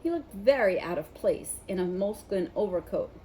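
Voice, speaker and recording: A woman's voice: medium pitch, expressive, moderate pace, warm tone, a little hiss or hum, poor audio. A woman narrating a children's novel, reading it aloud as a storybook.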